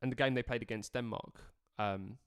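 A man's voice: mid-range voice, fairly even intonation, normal speed, clean tone, no echo, clean studio sound. A man co-hosting a casual football podcast, talking in a chatty, rambling way. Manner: chatty, rambling, lots of fillers and restarts. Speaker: podcast co-host.

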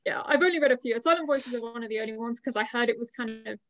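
A woman's voice: on the high side, fast talker, fairly bright tone, no room sound. A young woman co-hosting a podcast in informal, rambling chat, on a slightly dull lo-fi recording. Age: young adult. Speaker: podcast co-host. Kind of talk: casual chat. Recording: slightly dull, lo-fi microphone sound.

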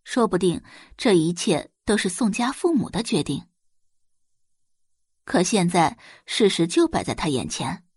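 Female audiobook narrator for dramatic fiction, animated storytelling delivery with a slightly husky tone. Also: lowish voice, a little slow, no room sound, clean studio sound. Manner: expressive storytelling.

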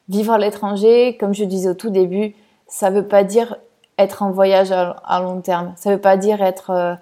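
A woman's voice: mid-range voice, warm tone. A woman from France talking casually in a personal podcast monologue, hesitant with many fillers and restarts. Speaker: podcast host.

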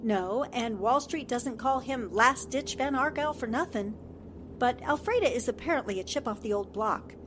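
A woman's voice: on the high side, animated, moderate pace, background noise, close to an everyday voice. A woman playing a sensible, polite newspaperwoman with a composed, dry manner in a 1940s-style radio drama. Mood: wry.

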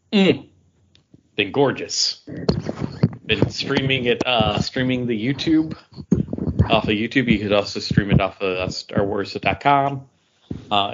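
A man's voice: medium pitch, lively intonation, measured pace, fairly bright tone, slight background noise. A man chatting casually and enthusiastically as a podcast host among friends. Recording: dull, lo-fi audio.

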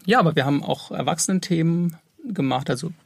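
A man's voice: fairly high pitch, fast, vocal fry, slightly rough. A man speaking casually as an audio drama producer and composer being interviewed.

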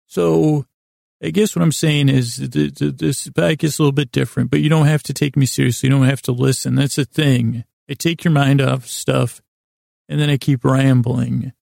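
Guy doing a meandering sleep podcast monologue, super gravelly fry, keeps tripping over himself, really dry closet sound. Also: mid-range voice, fairly even intonation, darkish tone, no room sound. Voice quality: very creaky, gravelly. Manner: halting, drawn-out, meandering delivery with repeated self-interruptions; self-deprecating, apologetic. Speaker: podcast host. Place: small closet, indoors.

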